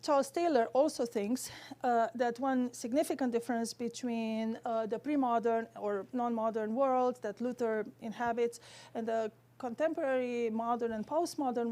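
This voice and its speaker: High-voiced Romanian woman giving a lecture. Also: mellow. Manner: careful, halting, scholarly phrasing. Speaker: theology lecturer. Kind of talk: academic lecture.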